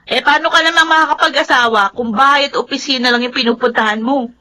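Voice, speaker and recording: Young Filipino woman, high-pitched, piercing bright tone, pert younger sister, blunt, chiding, radio drama. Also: fast talker. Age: young adult. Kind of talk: Tagalog radio drama acting.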